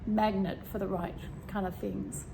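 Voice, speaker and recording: A woman with a slightly croaky voice talking casually and meanderingly about spirituality, with many fillers and throat clearing. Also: medium pitch, unhurried, rough recording. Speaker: spiritual vlogger. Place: home room with a fan running. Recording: steady fan hum behind. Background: fan noise.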